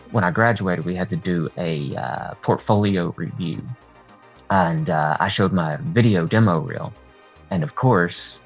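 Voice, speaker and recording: A man with a husky voice talking candidly and at rambling length as a guest in a casual interview. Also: low-pitched. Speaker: horror artist and video creator, interview guest. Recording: dull lo-fi sound, music behind. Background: music.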